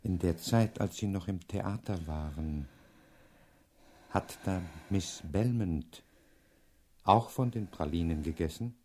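An actor playing a brilliant, pompous professor detective in a radio crime play, with ornate, pedantic phrasing and an impatient, commanding manner. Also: male voice, deep voice, slow, long pauses, a little mellow, slight background noise. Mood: gentle, probing. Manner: pedantic, ornate, theatrical delivery.

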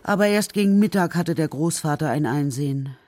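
A man's voice: fairly high pitch, lively intonation, fairly quick, darkish tone. A man reading scripted narration for a children's radio play.

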